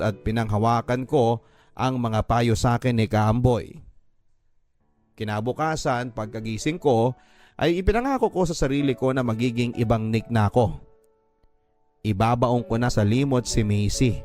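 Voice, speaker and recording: A man's voice: mid-range voice, a little mellow. A man narrating a scripted first-person radio drama story in a sorrowful, confessional manner. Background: music.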